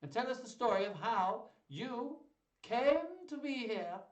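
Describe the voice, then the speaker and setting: A man voicing a hearty, welcoming older duke in a storybook, loud and drawn-out. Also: extremely high pitch, big pitch swings, voice pushed up, heavily acted. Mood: hearty welcome. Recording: roomy, loud, distant microphone.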